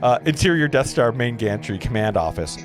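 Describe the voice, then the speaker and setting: A man's voice: mid-range voice, big pitch swings, fairly quick. A man reading stage directions as narrator at a script table read, stumbling over words now and then. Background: clear guitar music.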